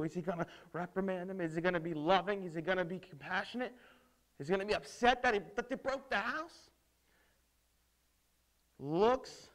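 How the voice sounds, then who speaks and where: High-voiced man preaching theatrically to children. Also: a bit bright. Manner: theatrical, emphatic, with dramatic pauses and rhetorical questions. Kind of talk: dramatic storytelling sermon for children. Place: indoors at a lectern on a stage set.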